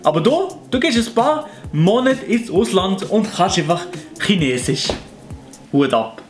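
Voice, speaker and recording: A man's voice: high voice, very animated, a little slow, soft background music. A young man with a Fribourg Swiss German accent, hosting a comedy video and mocking other YouTubers in a sarcastic tone. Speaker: YouTuber host. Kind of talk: satirical commentary.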